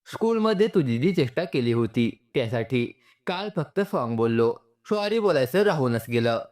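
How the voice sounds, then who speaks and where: A man's voice: mid-range voice, sing-song, normal speed, darkish tone, close to an everyday voice. A man voicing a polite, friendly young man in a story, casual and sincere, mixing in English words. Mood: sincere, apologetic.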